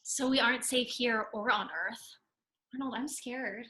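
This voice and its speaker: A woman voicing a sweet, excitable, tender-hearted young woman at a comedy table read. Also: fairly high pitch, a little slow, low-quality recording. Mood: scared.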